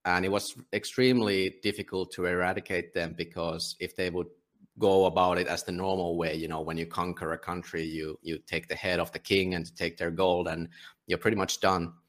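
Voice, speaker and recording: A Finnish man, a publisher and guest, talking casually in a conversational interview, often halting and restarting his sentences. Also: low voice, flat delivery, a bit bright. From Finland. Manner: halting, many restarts and fillers.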